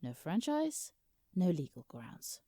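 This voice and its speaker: Woman acting a low, dry British female lawyer. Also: wildly swooping pitch, takes it slowly, mellow, no echo, full character voice. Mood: dry, explanatory. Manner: formal, explanatory, dry. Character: exasperated, businesslike female lawyer for Santa Claus. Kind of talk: scripted comedic audio roleplay.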